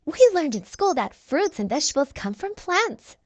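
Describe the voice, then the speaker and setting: A woman's voice: high-pitched, big pitch swings, normal speed, a little mellow, dry recording, voice pushed up. A woman voicing a confident young cartoon puppy girl in a storybook, eager and knowing.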